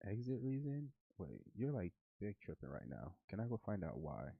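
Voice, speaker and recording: Nasal low-voiced man getting over flu. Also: wildly swooping pitch, normal speed, vocal fry, slightly rough, dry recording, phone-quality sound. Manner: muttering to himself, talking to his bots. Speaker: livestream host coding trading bots. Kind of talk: casual live coding stream.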